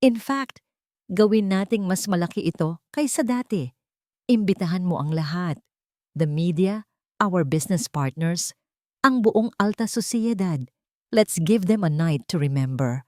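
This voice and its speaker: A woman plays a wealthy man quietly set on revenge in an audio drama. The delivery is authoritative and controlled, with clipped orders, recorded very dry. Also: fairly low pitch, lively intonation, measured pace, dry recording. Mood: falsely agreeable. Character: wealthy, commanding man secretly plotting revenge.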